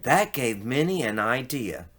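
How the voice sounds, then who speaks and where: Male storybook reader, unhurried and expressive, with bright tone and wide intonation. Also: medium pitch. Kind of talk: expressive children's story read-aloud.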